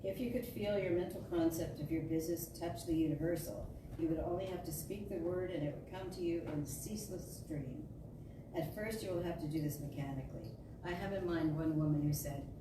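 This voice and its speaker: A woman giving an emphatic, declarative reading of a spiritual sermon, with deliberate phrasing. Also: fairly low pitch. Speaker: storyteller reading a spiritual talk. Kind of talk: dramatic reading of a metaphysical sermon. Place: large indoor spiritual center room. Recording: echoey hall from far off, noisy.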